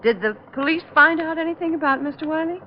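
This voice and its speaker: An actress playing a young salon masseuse in an old-time radio drama, polite and guarded with a nervous edge. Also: female voice, sits high, quick pace, lightly acted. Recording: dull, noisy vintage radio recording.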